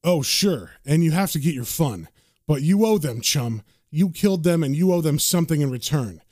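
A man's voice: lively intonation, moderate pace, mellow, dry recording, clean studio sound, natural voice. A man voicing a cynical, hard-bitten male occultist in a comic-book horror story, bossy and slangy. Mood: taunting. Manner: low, sardonic, slangy, bossy.

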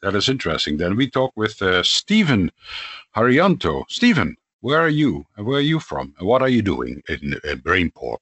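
Radio host, male, very low husky voice, lively intonation, easygoing. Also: normal speed, dry recording. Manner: relaxed, hesitant with fillers. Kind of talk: casual panel discussion.

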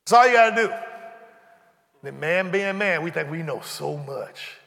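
Animated high-voiced preacher in a big hall. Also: male voice, a little slow, gravelly. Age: 40s. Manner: conversational preaching, acts out dialogue, humorous asides. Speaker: pastor. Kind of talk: sermon. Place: church sanctuary.

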